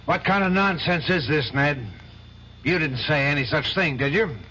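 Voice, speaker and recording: An actor playing a stern middle-aged law firm boss in a 1970s radio drama. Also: male voice, on the high side, moderate pace, bright, forceful tone, a little hiss or hum. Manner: stern, clipped, demanding. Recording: dull old radio recording.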